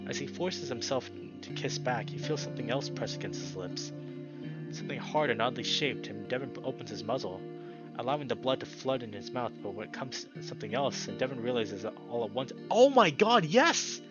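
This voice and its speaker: A man's voice: medium pitch, fairly quick, highs cut off. A young man hosting and narrating a visual novel, reading aloud with casual commentary. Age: young adult. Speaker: video host and narrator. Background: loud game music.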